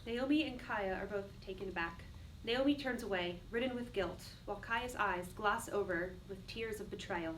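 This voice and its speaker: A woman's voice: mid-range voice, normal speed, fairly bright tone. A woman reading stage directions as a scripted dramatic narrator. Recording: distant mic, faint background noise.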